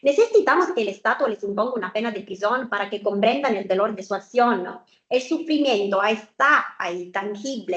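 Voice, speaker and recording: A woman's voice: medium pitch, a bit bright. A woman from Italy with an Italian accent, speaking Spanish as a second language, giving an earnest academic seminar talk with rhetorical questions and frequent fillers. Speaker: guest speaker. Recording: echoey room, muffled lo-fi sound.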